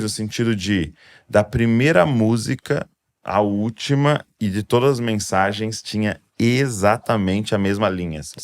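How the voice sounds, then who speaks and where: A Brazilian man hosting a faith podcast, talking in a relaxed, rambling way with lots of fillers. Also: lowish voice, no echo. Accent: Brazilian Portuguese. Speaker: podcast host. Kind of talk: casual chat.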